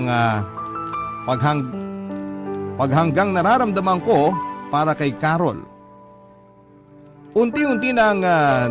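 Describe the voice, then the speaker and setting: Earnest Filipino man narrating over music. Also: on the high side. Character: earnest young man narrating his love story as letter. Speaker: story narrator. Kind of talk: earnest first-person scripted radio drama narration. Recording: clear music bed behind the voice.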